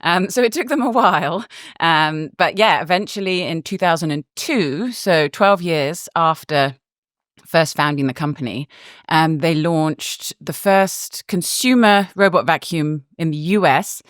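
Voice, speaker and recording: A woman's voice: sits low, measured pace, dry recording, clean studio sound. A woman guest reporter explaining things in a casual podcast chat, with lots of fillers, restarts and easy laughs. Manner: chatty, laughs often, many fillers and restarts.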